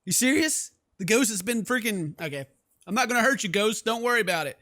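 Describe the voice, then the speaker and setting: A man's voice: high voice, expressive, a little fast, bright, forceful tone. A man hosting a horror game playthrough, reading text aloud and reacting with theatrical, sarcastic, exclamatory commentary. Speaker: gaming video host.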